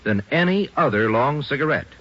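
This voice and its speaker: A man's voice: medium pitch, lively intonation, normal speed. A man reading a commercial as an announcer in vintage 1950s radio style. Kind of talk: 1950s radio cigarette advertising read. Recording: dull old radio recording.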